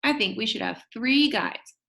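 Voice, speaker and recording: Woman reading as a brisk, jokey substitute teacher. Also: on the high side, animated, vocal fry, a bit bright. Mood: instructive. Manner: brisk, instructive classroom address. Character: brisk, jokey male substitute teacher addressing his class. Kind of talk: children's storybook read-aloud.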